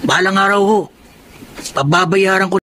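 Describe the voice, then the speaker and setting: Humble young foster son, earnest, respectful, highish, faint hiss. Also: male voice, normal speed. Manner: soft-spoken, pleading, respectful. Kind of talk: radio drama acting.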